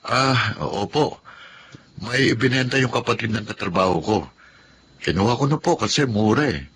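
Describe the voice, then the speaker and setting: A man's voice: medium pitch, normal speed, a bit bright, close to an everyday voice. An actor playing a mild, respectful young married man in a Filipino radio drama. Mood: polite, casual. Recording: light background noise.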